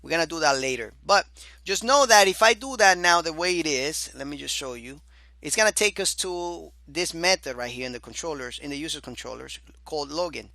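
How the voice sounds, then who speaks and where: A man teaching a beginner programming course over his screen, casual and chatty, often saying "all right" and calling the listener "my dear student"; his voice is going hoarse. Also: on the high side, animated, a little fast, pushed and bright, no room sound. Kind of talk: screen-recorded coding lecture.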